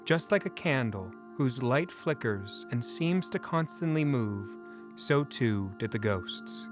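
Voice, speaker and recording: A man narrating a scripted dramatic story in an expressive, deliberate way. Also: mid-range voice, music in the background.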